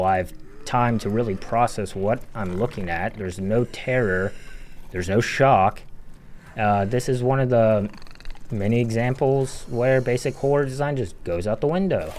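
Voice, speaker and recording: A man's voice: on the low side, darkish tone, slight background noise. A man giving opinionated, analytical commentary as a video game critic, talking in an emphatic, conversational way.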